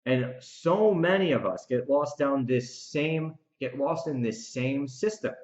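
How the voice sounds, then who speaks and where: A man's voice: medium pitch, animated, a little slow. Man in a roomy, dull recording. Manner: emphatic, repeats phrases and restarts for effect. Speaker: podcast host and author. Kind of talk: reflective solo recap commentary, part rant.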